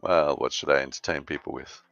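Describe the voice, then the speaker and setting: A man with an extremely deep, gravelly voice chats on a livestream in a dry, wry way, explaining things at length. He comes through a dull, lo-fi voice chat line, with faint music playing underneath. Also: fairly even intonation, a little fast, fairly bright tone, no room sound. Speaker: co-host on a game dev stream. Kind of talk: casual chat.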